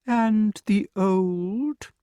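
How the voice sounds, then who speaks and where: An aloof old owl with a high, swooping voice and a slow, mellow delivery, every line dripping with sarcasm. It sounds close-miked in a dead, dry booth. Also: male voice, animated, no room sound. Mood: sarcastic. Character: sarcastic, cold old male owl tutor. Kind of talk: audiobook character voice.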